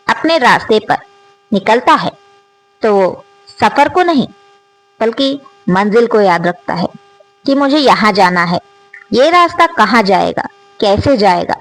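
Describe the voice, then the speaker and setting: A woman telling a reflective moral story in a slow, earnest manner, rising on rhetorical questions as the channel's storyteller. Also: on the high side, no echo, low-quality recording.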